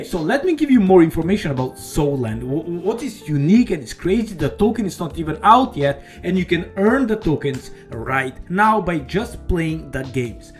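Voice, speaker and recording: Eager rambling man with a slightly high voice. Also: sing-song, moderate pace, few pauses, faint music behind. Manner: eager, rambling, peppered with "okay" and "all right"; non-native English phrasing. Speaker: crypto YouTuber. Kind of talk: casual screen-share walkthrough.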